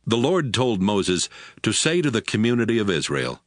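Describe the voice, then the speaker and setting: A man narrates scripture from a script in a fairly low voice. His intonation is lively, and the recording is close and dry. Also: normal speed, dry recording.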